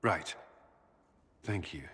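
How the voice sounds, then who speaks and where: A man's voice: extremely slow, bright, forceful tone, long reverb tail. A man playing a grave, earnest military commander in a video game, speaking seriously. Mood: solemn, hesitant. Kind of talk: video game character dialogue.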